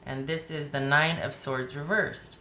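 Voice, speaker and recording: A woman with a hoarse voice giving a chatty, rambling tarot reading, often restarting her sentences. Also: low-pitched, fairly even intonation, measured pace, pushed and bright, echoey room, a little hiss or hum. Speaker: tarot reader.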